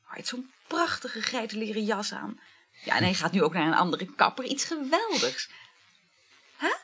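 A gushing, flirtatious woman, animated and husky. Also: a little high, normal speed, full character voice. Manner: chatty, effusive, sighing exclamations. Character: flirtatious, gushing, money-strapped woman juggling several lovers. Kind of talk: naturalistic radio play acting.

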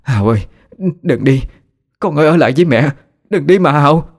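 A man's voice: on the high side, lively intonation, fairly quick, voice pushed up. A man doing a wailing grief-stricken old mother. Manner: wailing, sobbing pleas. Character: grieving older mother, wailing for her dead son.

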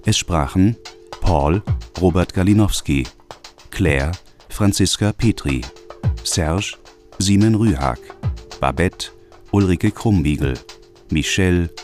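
A man reading radio drama credits as a formal, measured, neutral announcer. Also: deep voice, a little mellow, faint music behind.

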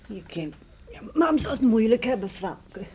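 A woman's voice: on the high side, big pitch swings, darkish tone, husky, exaggerated voice. An actress in a radio play playing a caring middle-aged farmer's wife and mother, with a soothing, practical manner. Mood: understanding. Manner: soothing, homely, measured. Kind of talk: Dutch radio play acting. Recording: noisy old radio play recording.

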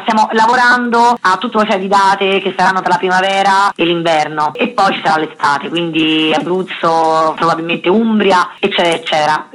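A woman answers as a guest in a radio interview. Her voice is a touch low, and she speaks expansively and articulately, in a conversational way with emphatic phrasing. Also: fairly bright tone, low-quality recording. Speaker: interview guest, actress and author.

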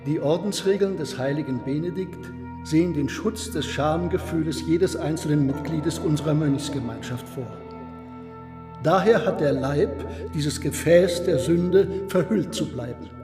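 An actor playing a stern, formal medieval Benedictine abbot who speaks solemnly in a radio drama. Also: male voice, on the high side, measured pace, lightly acted. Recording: long reverberant hall, music behind.

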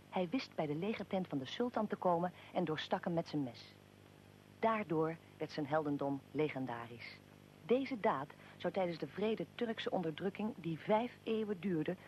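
A woman's voice: fairly low pitch, darkish tone, a little hiss or hum. A woman reading scripted historical narration as the narrator of a radio drama.

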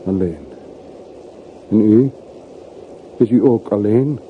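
An actor playing a desolate, curt traveller in a radio play, talking in short clipped sentences. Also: male voice, lowish voice, lively intonation, slow. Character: desolate, curt man parting at a railway station. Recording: muffled, noisy old radio recording.

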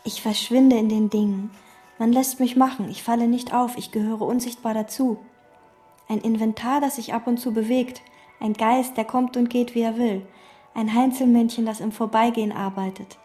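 A withdrawn, obsessive hotel maid in a radio drama. Her voice sits a little high but sounds soft and mellow. She talks calmly, in terse, flat sentences. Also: normal speed, slightly rough, soft background music. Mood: detached. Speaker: chambermaid. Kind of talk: radio play, inner monologue and dialogue.

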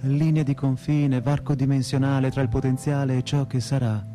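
Man acting frustrated eternal student in his thirties, mellow warm timbre, rhetorical radio-theatre monologue, self-mocking, faint music underneath. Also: mid-range voice, flat delivery, moderate pace, lightly acted. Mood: mock-lyrical wonder. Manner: rhetorical, repetitive, shifting between lofty lyricism and crude bluntness.

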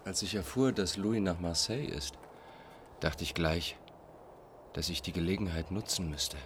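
An actor in a German radio play playing a menacing, insistent fugitive who pleads and insinuates. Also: male voice, sits low, moderate pace, background noise, natural voice. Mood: brazen, insistent. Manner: insistent, brazen, formal address.

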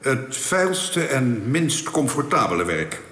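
A courteous alien official in a science fiction radio drama. He speaks in measured, formal sentences with a medium-pitched voice. The sound rings with echo, as if in a large hall. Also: sing-song, a bit bright. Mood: matter-of-fact. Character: courteous, matter-of-fact alien official man explaining his planet's laws. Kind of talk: Dutch science fiction radio play acting. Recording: echoey reverberant hall effect.

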